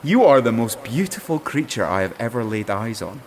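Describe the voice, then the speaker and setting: An actor in an audio drama playing an adoring mortal suitor, earnest and reverent. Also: male voice, mid-range voice, big pitch swings, fairly quick, slight background noise, close to an everyday voice.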